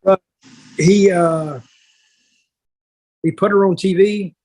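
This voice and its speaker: An older man with a husky voice telling stories casually on a podcast, blunt and plainspoken, halting and repeating words. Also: sits high, fairly even intonation, a little mellow, rough recording. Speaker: podcast co-host, wrestling veteran.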